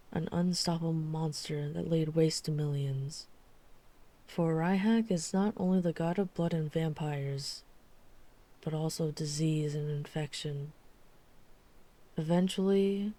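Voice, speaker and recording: A dry-witted vampire hunter played by a woman from an urban fantasy audio roleplay. Her voice is very low for a woman and softly dark. She tells things deliberately, like someone guarded who is confiding in a friend. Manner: measured, deliberate storytelling with dry asides.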